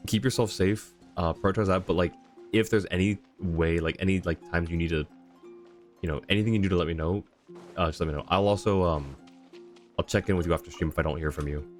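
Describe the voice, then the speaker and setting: A man's voice: low-pitched, steady tone, fast, soft background music. A man giving casual livestream game commentary and chatting with viewers.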